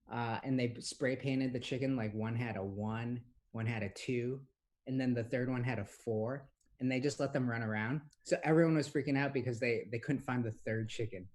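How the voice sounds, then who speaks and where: A young man from Hong Kong co-hosting a podcast, speaking at a mid-range pitch for a man. He tells long personal stories in a loose, rambling way, full of "um"s, "like"s and false starts. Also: a little mellow. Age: young adult. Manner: rambling storytelling with many fillers and restarts. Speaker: podcast co-host. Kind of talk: casual chat.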